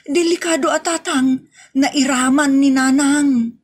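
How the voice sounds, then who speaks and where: A woman's voice: high-pitched, fairly even intonation, a little slow, noisy background, voice pushed up. A woman voicing a worried young daughter in a comedic audio drama, pleading anxiously with her father.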